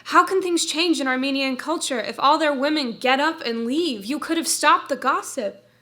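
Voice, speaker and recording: A woman's voice: high voice, normal speed, sharp tone. An actress reading the part of a grown daughter in a staged play, pressing her mother with pointed, accusing questions. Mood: angry. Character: grown daughter, hurt and confrontational.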